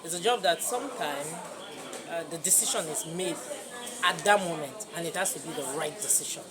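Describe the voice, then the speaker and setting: A woman with a Nigerian accent and a fairly low pitch answers in an interview as an air traffic controller. She is emphatic as she describes the job. Chatter from other people is clearly audible behind her. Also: a little fast.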